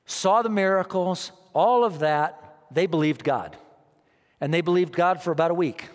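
Male pastor, emphatic repeating sermon delivery, slightly high voice, long hall reverb. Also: moderate pace. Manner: emphatic, repeats phrases, rises and drops in loudness.

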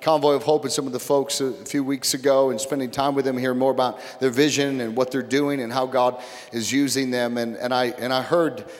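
A pastor with a mid-range voice gives an earnest, halting introduction full of restarts. The long reverb of a big church hall trails his words. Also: medium pitch, steady tone. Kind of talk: introducing a guest speaker. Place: large church sanctuary.

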